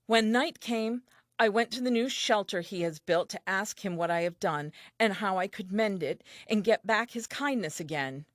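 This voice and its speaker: A woman's voice: medium pitch, moderate pace, dry recording, studio quality, natural voice. An actress playing an earnest, naive and tender first woman, telling her diary in an audio play with wide-eyed expression. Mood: hurt, sorrowful.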